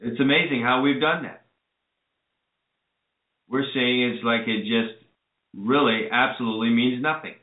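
A man's voice: medium pitch, a little slow, long pauses. A man hosting a call-in radio show, earnestly advising listeners and then bringing on a guest. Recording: echoey, phone-like lo-fi audio.

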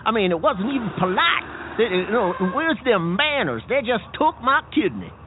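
A stammering, breathless bean who runs a garage, played by a man, talks in a folksy, ungrammatical way. His voice sits high and swings wildly. The sound is thin and phone-like, with a faint hiss. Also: sing-song, moderate pace. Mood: indignant. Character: frantic male bean garage boss, just abducted. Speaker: garage owner.

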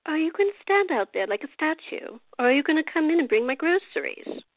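A woman's voice: high-pitched, sing-song, brisk, slight background noise. A woman playing a blunt, imperious old woman in a radio drama, brusque and disapproving. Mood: bossy. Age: older. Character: cantankerous, blunt old Australian woman, a retired nurse. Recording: dull lo-fi recording.

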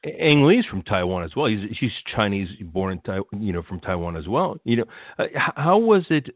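A man's voice: on the low side, very animated, brisk, no room sound. Chatty man interviewing, tinny line. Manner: chatty, rambling questions with restarts. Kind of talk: casual interview.

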